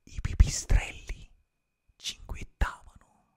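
Young man whispering a slow fairy tale. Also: a little mellow. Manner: slow unvoiced whisper, drawn-out pauses. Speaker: ASMR streamer. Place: small dim room with colored string lights. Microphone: close studio mic, earphones.

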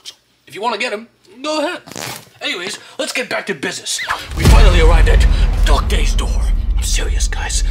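A man voicing a playful, teasing toy monster who bosses the viewers around in a hyper, giggly way. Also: a little high. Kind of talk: fan toy-play video narration. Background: loud music.

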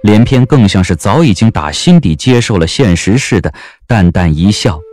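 Low-voiced man narrating with lively swings. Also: normal speed. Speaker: audiobook narrator. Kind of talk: scripted narration, historical romance audio drama.

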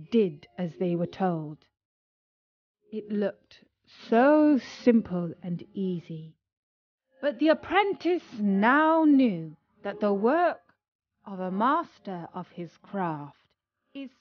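A woman narrating a scripted children's story as a storyteller, expressive and dramatic, with deliberate pauses. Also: medium pitch, no echo. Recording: dull lo-fi audio with music.

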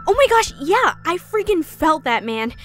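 Woman voicing a chill friendly teen girl, high voice that bounces around, excitable. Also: normal speed, soft background music. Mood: upbeat. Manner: slangy, excitable. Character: upbeat, casual teenage girl, friendly. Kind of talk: amateur comic dub acting.

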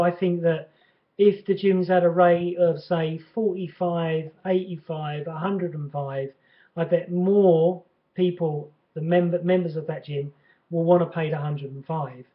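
A middle-aged man talking casually and with opinion to a camera at home, like a personal trainer explaining his trade. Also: fairly high pitch. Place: bright furnished living room at home. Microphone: webcam mic at about arm's length. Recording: dull lo-fi webcam sound.

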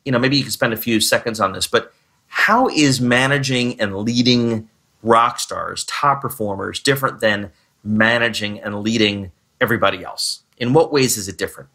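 A man hosting a podcast on recruiting, energetic in his intro and loose and chatty when asking questions. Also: fairly low pitch, normal speed. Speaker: podcast host. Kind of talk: podcast interview.

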